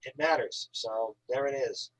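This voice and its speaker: A man's voice: medium pitch, unhurried, poor audio. A man who works as an author, chatting casually and enthusiastically about writing in an effusive, emphatic, talkative way. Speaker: author, guest at an online reading.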